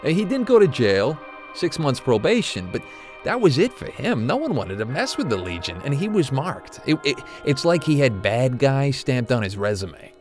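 An actor playing an older, guilt-ridden retired corporate executive who confides haltingly, as in an audio drama interview. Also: male voice, medium pitch, sing-song, soft background music, lightly acted. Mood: grim, reflective. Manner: hesitant, confessional, halting.

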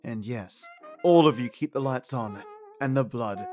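A man's voice: medium pitch, unhurried, music in the background. A man hosting a podcast with chatty, playful, slightly theatrical commentary. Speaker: podcast host. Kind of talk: chatty commentary on old radio stories.

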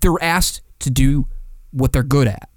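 A man's voice: mid-range voice, unhurried, no room sound. Man, emphatic sports podcast co-host, close dry sound. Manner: emphatic, slangy, rhetorical questions. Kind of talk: casual sports talk.

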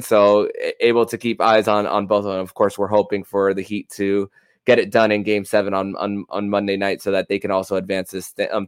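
A man hosting a sports talk podcast, speaking in a halting way and often repeating words mid-sentence. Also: fairly low pitch, clean tone, no echo. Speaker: podcast host.

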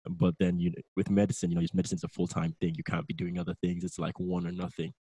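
A young man from Nigeria, a newly qualified physiotherapist, talking as a guest in a relaxed, rambling way and laughing often. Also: low-pitched, fast, breathy edge, no room sound. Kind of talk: casual interview chat.

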